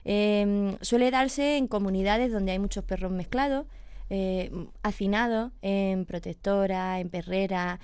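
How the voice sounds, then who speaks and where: A woman's voice: mid-range voice, measured pace, darkish tone, clean tone, no echo. A woman, a veterinarian explaining pet illnesses patiently on a radio chat, checking in with her listener as she goes. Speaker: veterinarian guest.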